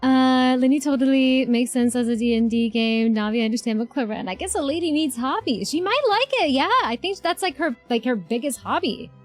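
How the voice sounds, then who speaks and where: Woman streamer, high voice, casual reaction chat, effusive, repetitive phrasing, game music behind. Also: a little fast, hardly any pauses. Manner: effusive, repeats herself, talks back to characters.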